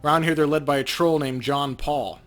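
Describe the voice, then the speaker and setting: A man's voice: on the high side, fairly even intonation, fairly quick, a bit bright, natural voice. A man voicing a world-weary, wry street runner, reading video game dialogue with tough, streetwise talk. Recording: game music under the voice.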